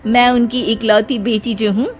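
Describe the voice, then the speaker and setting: Woman acting a high-voiced, dutiful young wife. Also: steady tone, normal speed, lightly acted. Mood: friendly. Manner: earnest, polite. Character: gentle, dutiful young wife and daughter-in-law. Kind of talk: cartoon story dialogue. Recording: music behind the voice.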